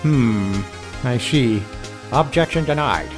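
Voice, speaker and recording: A man's voice: mid-range voice, lively intonation, takes it slowly. A man acting an authoritative older courtroom judge in a video game drama, formal and musing. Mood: deliberating. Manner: formal, musing, hesitant hums. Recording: music clearly under the voice.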